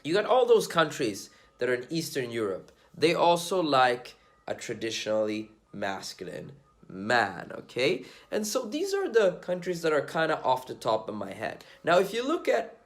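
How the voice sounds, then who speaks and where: A young man from Sweden, talking straight to his viewers in a loose, slangy way. His pitch rises and falls a lot, and it sits a little high for a man. He is blunt and swears freely. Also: huge pitch swings, moderate pace. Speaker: dating commentator vlogger. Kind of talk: casual monologue to camera.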